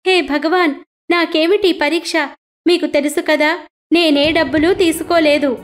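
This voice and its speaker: A woman voicing a poor devout village woman who cries out high and pleading. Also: fairly even intonation, unhurried, soft background music.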